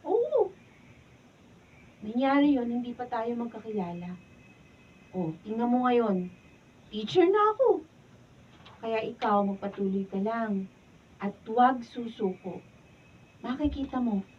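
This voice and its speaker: A woman's voice: on the high side, big pitch swings, slow, long pauses, breathy edge, full character voice. A woman with a Filipino accent acting a supportive young best friend in a radio drama, encouraging and chatty. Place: radio drama recording session. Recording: distant, dull camera audio.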